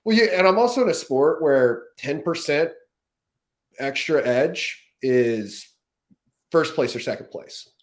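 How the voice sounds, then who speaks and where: A man in his twenties, a guest on a casual podcast, talking in a candid, animated way full of quick asides. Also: fairly high pitch. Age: 20s.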